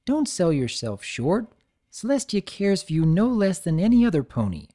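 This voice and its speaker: A man voicing a cheerful, bookish young pony mare with a bright, friendly and precise manner. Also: high-pitched, big pitch swings, normal speed, pitched above the performer's own voice.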